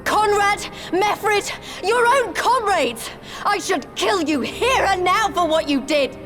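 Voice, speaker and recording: An actress playing a fierce, righteous young freedom fighter in a game cutscene, blunt and impassioned. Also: female voice, very high-pitched, animated, takes it slowly, a bit bright, soft background music, exaggerated voice. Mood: angry, accusing. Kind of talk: dramatic game cutscene acting.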